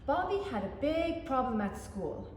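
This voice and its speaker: A woman storyteller reading a picture book aloud to young children, animated and inviting listeners in with questions, in an echoey room. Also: sits high, normal speed. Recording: echoey reverberant room, faint background noise.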